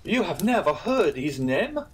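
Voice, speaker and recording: Man acting a high-pitched, excitable Italian innkeeper. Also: a little slow. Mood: alarmed, insistent. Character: excitable, easily piqued Italian innkeeper, earnest and proud.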